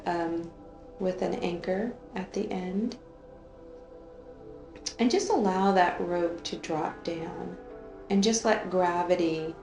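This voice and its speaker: Woman, guided meditation, very unhurried, lowish pitch, soothing repetition, roomy sound. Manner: soothing, gently drawn-out with repeated phrases. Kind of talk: slow guided meditation, unscripted. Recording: roomy echo, faint music underneath.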